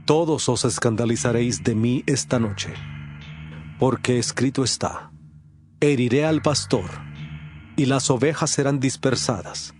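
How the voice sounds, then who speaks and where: A male actor plays a grave, sorrowful teacher with quiet authority in a scripture audio drama. His medium-pitched voice is solemn and measured, every sentence declared with weight. Faint music sits behind him. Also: lightly acted.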